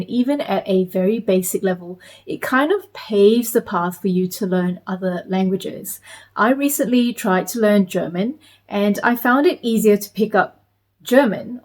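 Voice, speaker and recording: A woman in her thirties from Malaysia, talking to camera in a measured, explanatory way as a parenting vlogger. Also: mid-range voice, a little mellow. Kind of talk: scripted talk to camera.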